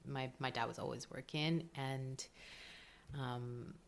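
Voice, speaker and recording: Low-voiced Colombian woman, open and emotional. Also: steady tone, takes it slowly, creaky, slight background noise. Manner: open and emotional, frequent ums and laughs. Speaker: podcast guest, breathwork coach. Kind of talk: casual interview chat.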